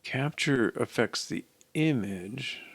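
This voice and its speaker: A man's voice: medium pitch, animated, slow, gravelly, a bit bright, breathy edge, no room sound. An older man thinking aloud as he programs, in a casual, hesitant mutter to himself. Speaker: programmer live-coding a mobile app. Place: small indoor room at a desk.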